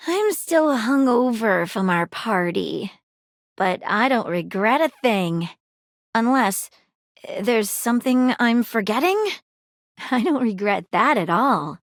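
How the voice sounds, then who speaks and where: A woman's voice: on the high side, expressive, slow pace, dry recording, studio quality. A woman voicing a nosy, flirtatious female magnifying glass detective in a video game, with a playful manner. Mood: flirty. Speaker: dateable game character. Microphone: close studio mic.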